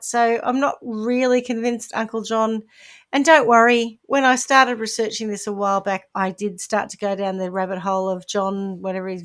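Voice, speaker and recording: A woman with a New Zealand accent hosting a casual podcast, telling a true-crime story with playful asides. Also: mid-range voice. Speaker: podcast host.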